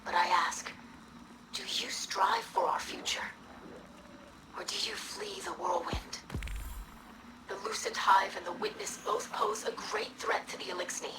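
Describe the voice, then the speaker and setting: An actress voicing an earnest young female alien in a video game, with a breathy, whispery rasp and formal, measured speech. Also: very high-pitched, wildly swooping pitch, exaggerated voice, rough recording. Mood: solemn appeal. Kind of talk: scripted video game dialogue.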